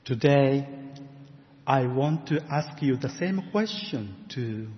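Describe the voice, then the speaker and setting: A middle-aged man from Japan with a Japanese accent, preaching a sermon as a guest in a church, measured and careful, with gentle laughs. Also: medium pitch, animated. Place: church sanctuary. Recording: muffled sound with long church reverb.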